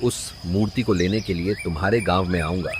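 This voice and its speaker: A man's voice: low-pitched, a little fast, noisy background, close to an everyday voice. A man voicing a haughty young prince in a cartoon story, with a formal, imperious manner. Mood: commanding.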